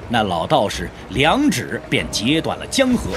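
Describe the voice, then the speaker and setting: A man's voice: medium pitch, wildly swooping pitch, unhurried, music in the background. A man narrating a martial arts audio drama in a dramatic, scripted storytelling style.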